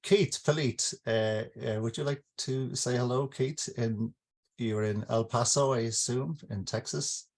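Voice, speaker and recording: A man's voice: medium pitch, measured pace, darkish tone. An Irish man, an author, talking informally and reflectively to a gathering, explaining with some hesitation. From Ireland. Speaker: author hosting his book launch. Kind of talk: informal talk to an online gathering.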